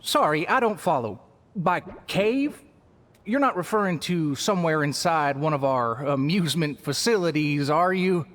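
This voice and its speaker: An actor voicing a polite, formal host of an amusement resort. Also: male voice, a little high, animated, moderate pace, fairly bright tone. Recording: game scene with faint music.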